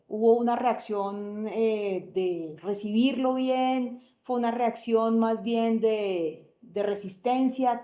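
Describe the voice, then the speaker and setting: A woman hosting an interview, asking short curious questions and adding quick backchannel sounds. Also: a little high, mellow, roomy sound. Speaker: interviewer host.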